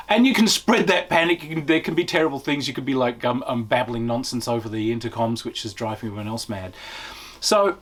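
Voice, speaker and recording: A man reviewing a tabletop game in a casual, unscripted way, animated and chatty as he explains. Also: mid-range voice, breathy edge.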